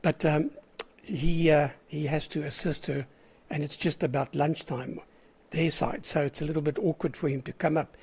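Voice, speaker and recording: Older South African man running a ham radio net, husky cold-roughened voice, a bit high, rambling and clearing his throat. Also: fairly even intonation, moderate pace. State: hoarse with a cold. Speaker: net controller of an amateur radio net. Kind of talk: casual on-air net chat.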